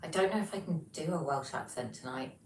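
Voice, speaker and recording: A young British woman hosting a livestream from her flat, reading out chat messages and rambling casually with lots of ums. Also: low-pitched, brisk. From Britain. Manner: chatty, rambling, many ums. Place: home, flat. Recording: echoey room, distant mic, faint noise.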